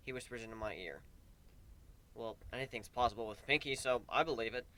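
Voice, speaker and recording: A man reading a first-person story aloud in a theatrical, exasperated way, dropping low and bursting loud. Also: a little fast, dry recording. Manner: theatrical, exasperated delivery, swinging from hushed to shouted. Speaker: story reader.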